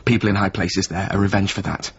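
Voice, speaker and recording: Weary low-voiced companion, slightly muffled. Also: male voice, level tone, quick pace. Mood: grim. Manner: terse, clipped, matter-of-fact fragments. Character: weary, plain-spoken former companion of a doomed painter. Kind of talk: naturalistic radio drama acting. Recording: muffled recording with faint background noise.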